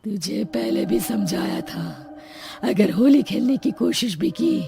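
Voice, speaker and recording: A woman voicing the threatening ghost of a dead king in a cartoon horror story, with a slow, menacing delivery. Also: medium pitch, sing-song, creaky, dark tone, faint music behind.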